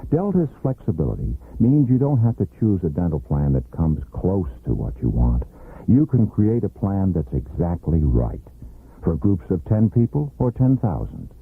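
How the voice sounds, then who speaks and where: A man's voice: sits low, very animated, normal speed, vocal fry, slightly rough. An American man announcing a scripted radio commercial, heard on a muffled 1980s radio recording.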